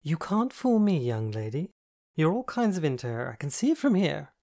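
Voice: medium pitch, sing-song, moderate pace, warm tone, pitched above the performer's own voice. A reader doing a flamboyant camp young man. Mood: teasing. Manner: grand, theatrical, teasing. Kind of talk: audiobook dialogue.

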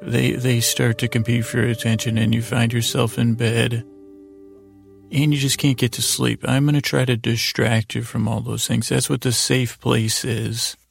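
Very creaky-voiced, meandering male podcast host. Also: medium pitch, flat delivery, soft background music. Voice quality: gravelly, creaky. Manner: meandering, halting, trails off and loses his way between words; gently silly, whimsical. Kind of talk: rambling bedtime podcast monologue.

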